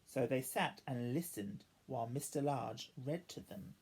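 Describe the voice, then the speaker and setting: A woman's voice: extremely low pitch, a little slow, a little hiss or hum. A woman reading a children's storybook expressively, as a storyteller who acts out the dialogue. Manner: animated, acting out each character's dialogue.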